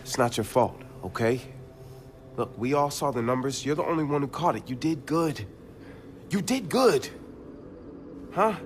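A man's voice: mid-range voice, expressive, a little slow, pauses often, slight background noise, close to an everyday voice. An actor playing an easygoing young American hacker who leads his crew, speaking in casual slang. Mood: concerned, reassuring. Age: young adult. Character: young male hacker, easygoing, encouraging group leader. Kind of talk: video game cutscene acting. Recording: music behind the dialogue.

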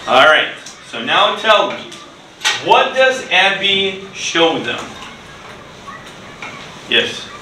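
Middle-aged male ESL teacher, unhurried simple delivery, recorded at a distance in a noisy, echoey classroom. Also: high voice, big pitch swings. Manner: simple, deliberate phrasing with repeated words and short instructions. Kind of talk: classroom English lesson for children. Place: indoor school classroom.

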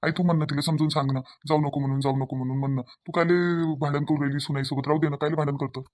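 Man playing a fast-talking, pleading Varhadi family peacemaker. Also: fairly high pitch, fairly even intonation, close to an everyday voice. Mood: coaxing. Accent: Varhadi Marathi. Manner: coaxing, reasoning, repeats phrases. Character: pleading, conciliatory grown man in a quarrelling family. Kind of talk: cartoon family drama.

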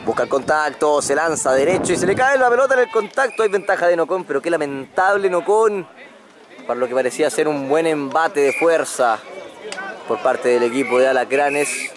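A man's voice: fairly high pitch, moderate pace. Man calling rugby with the crowd behind. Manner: excitable play-by-play, rises on breaks. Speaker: rugby commentator. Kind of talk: live match commentary. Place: outdoors at a sports ground. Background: crowd and field noise.

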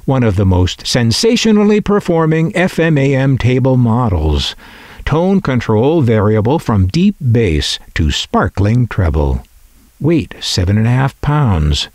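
A male narrator with a mid-range voice reads scripted product copy in a measured announcer style. His delivery is very slow, with animated swings in intonation. The recording is dry and close, free of room sound. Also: medium pitch, dry recording. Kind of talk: scripted narration, reading vintage catalog copy.

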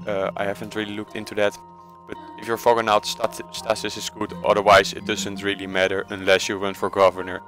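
A man with a Dutch accent walks through a game tutorial in a low, flat, bright voice. He speaks haltingly, filling pauses with "uh" and correcting himself mid-phrase. Soft music plays behind him. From Netherlands. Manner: hesitant, many uh fillers and self-corrections. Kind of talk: casual screen-recorded tutorial voice-over.

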